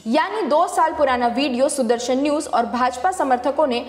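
A woman with a distinctly high voice reads a scripted news explainer. She narrates as a fact-check presenter. The recording is echoey, with a long reverb tail on her words. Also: normal speed.

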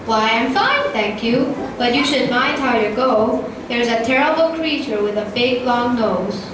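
A woman's voice: on the high side, lively intonation, a little slow. A girl of about ten or eleven playing a gossiping elephant in a puppet play, reciting ominous rhymes. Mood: warning. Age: child, about ten or eleven. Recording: echoey school hall, distant, very noisy.

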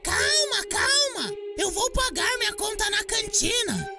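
Little cartoon boy: squeaky, panicky, pleading, slightly distant under loud music. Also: sing-song, unhurried, vocal fry, heavily acted. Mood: panicked. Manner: pleading, exclamatory. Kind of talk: children's cartoon dialogue.

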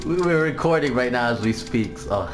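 A man's voice: mid-range voice, lively intonation, moderate pace, music in the background. A young man co-hosting a video with casual, slangy, profane banter. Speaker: video co-host. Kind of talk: casual banter over gameplay. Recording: echoey room with background noise.

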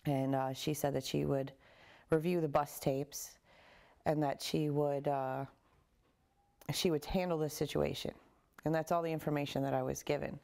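Low, creaky-voiced woman. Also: steady tone, moderate pace, long pauses, mellow. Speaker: parent being interviewed. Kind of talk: interview account. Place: living room, indoors.

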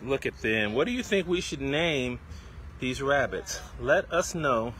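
A middle-aged man hosting a casual livestream as a farm stand vendor, with an enthusiastic, repetitive sales pitch. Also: expressive, unhurried, a bit bright. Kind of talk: casual promotional livestream chat. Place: outdoors at a tented farm stand by a street. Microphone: handheld phone, around arm's length. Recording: noisy outdoor street-side phone recording.

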